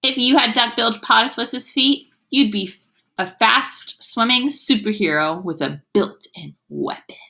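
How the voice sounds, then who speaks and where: A woman's voice: fairly high pitch, unhurried, gravelly, bright, forceful tone. A woman teacher reading aloud to young children, explaining as she goes in an instructive way and sounding out words. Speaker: elementary school teacher.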